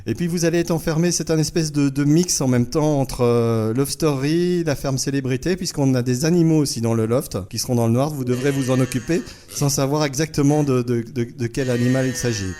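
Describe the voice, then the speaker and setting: A man's voice: medium pitch, a little fast, hardly any pauses, mellow, natural voice. A man playing a businesslike but easily annoyed TV producer on a prank call, hesitant and repeating words. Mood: polite, businesslike. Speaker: radio host making prank call. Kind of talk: prank phone call, semi-formal pitch.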